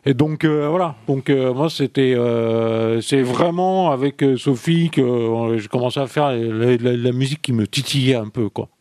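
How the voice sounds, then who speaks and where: A man's voice: medium pitch, moderate pace, few pauses, darkish tone, dry recording, studio quality. A man who is a musician guest, speaking French though it is not his native language, rambling and reflective. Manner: rambling, reflective, many restarts. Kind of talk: casual interview answers.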